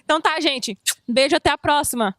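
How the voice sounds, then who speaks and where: A woman's voice: high voice, fast talker, fairly bright tone, no echo. A woman with a Brazilian Portuguese accent, a native Portuguese speaker, interviewing casually in English and sometimes searching for words.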